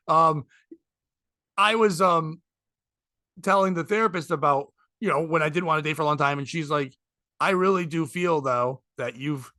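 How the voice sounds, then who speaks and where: High gravelly man in his forties. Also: pushed and bright, dry recording, clean studio sound. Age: 40s. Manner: candid, rambling, swears freely. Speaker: podcast host. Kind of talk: casual chat.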